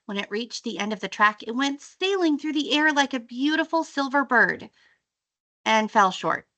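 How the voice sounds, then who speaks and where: A woman reading a children's story aloud in an expressive, storytelling style. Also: high voice, moderate pace. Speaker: teacher reading a book aloud.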